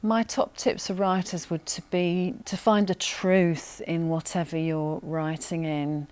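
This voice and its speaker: A woman giving deliberate professional advice in an interview. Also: on the low side, flat delivery, darkish tone, clear, resonant tone. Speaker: radio drama producer giving writing advice.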